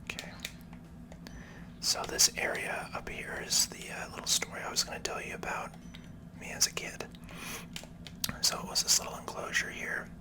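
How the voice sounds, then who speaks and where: ASMR gaming commentator. Breathy whisper throughout, casual and unhurried, musing softly through the play. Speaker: video game player and commentator.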